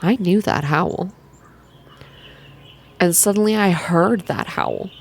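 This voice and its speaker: A woman softly reading a scripted first-person story in a measured ASMR style, as narrator. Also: lowish voice, animated, vocal fry. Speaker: reader, narrator.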